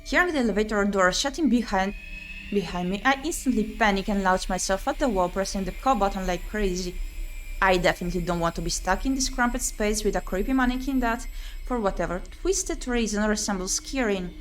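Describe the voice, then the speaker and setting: A woman narrates a visual novel as she plays, reading the text aloud with dramatic expression. Her pitch sits in the middle range for a woman. Game music plays clearly behind her voice. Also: fairly quick, poor audio. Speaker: VTuber streamer playing a visual novel.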